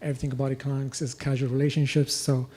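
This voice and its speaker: A young man from Ethiopia asking a long question at a lecture, halting and full of restarts. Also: medium pitch, little pitch movement, warm tone. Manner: halting, many fillers and restarts. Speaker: audience questioner. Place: lecture hall.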